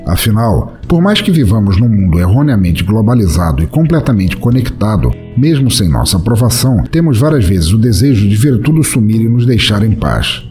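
A Brazilian man with a fairly low voice delivers scripted, dramatic narration. His intonation is very animated and his wording literary, with faint music behind him. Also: fairly quick, hardly any pauses. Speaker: podcast host and narrator.

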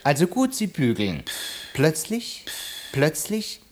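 A man's voice: fairly high pitch, huge pitch swings, slow pace, exaggerated voice. An actor playing an exacting, schoolmasterly male sales trainer who interrupts and instructs in a German audio drama. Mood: brisk, directing.

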